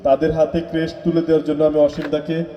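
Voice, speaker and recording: A man with a Bangladeshi Bengali accent hosting an event, making a stage announcement with frequent hesitant pauses. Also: a little high, completely flat delivery. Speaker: event host. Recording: dull echoey event sound, music behind.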